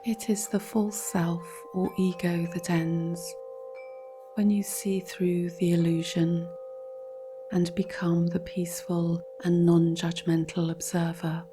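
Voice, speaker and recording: A woman reading a scripted guided relaxation in a calm, measured, instructional way, with a soft, breathy voice. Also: on the low side, over music. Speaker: guided meditation narrator.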